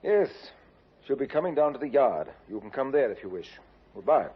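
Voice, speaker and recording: A calm stern inspector, 50s radio crime show, dull sound. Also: male voice, mid-range voice, normal speed, husky. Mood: firm. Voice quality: calm, firm, dry. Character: stern male Scotland Yard inspector. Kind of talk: 1950s radio drama. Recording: dull old 1950s radio recording.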